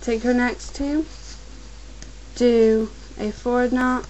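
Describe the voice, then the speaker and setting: Young woman tutor, getting a cold, calm and repetitive, recorded on a computer mic at her desk. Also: fairly high pitch, a little hiss or hum, rough recording. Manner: patient, repetitive instructions, slow and careful at times. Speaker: crafts tutorial maker. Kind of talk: step-by-step craft instruction.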